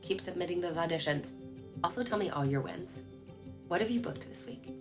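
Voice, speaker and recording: A woman's voice: fairly low pitch, fairly quick. Chatty woman coaching with music behind. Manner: lively, chatty, animated hand gestures. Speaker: voice-over coach. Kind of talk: casual tutorial to camera. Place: small room at home, indoors.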